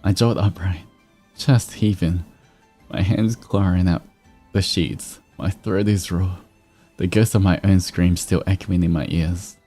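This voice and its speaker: Very low-voiced, mellow man streaming. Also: steady tone, unhurried. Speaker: VTuber streamer host. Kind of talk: livestream fan-fiction reading with casual chat. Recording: soft music behind. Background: background music.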